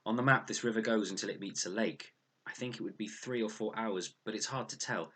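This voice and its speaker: An earnest, guarded boy from a children's story, read by a man. He is brave yet quietly frightened, in a husky voice pitched up from the reader's low natural register. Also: a little fast. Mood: reassuring, tense.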